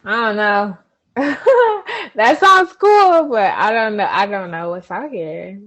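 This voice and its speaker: A woman in her twenties, a guest in a casual chat, laid-back and thinking out loud with frequent laughs. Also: medium pitch, lively intonation, slow. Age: 20s.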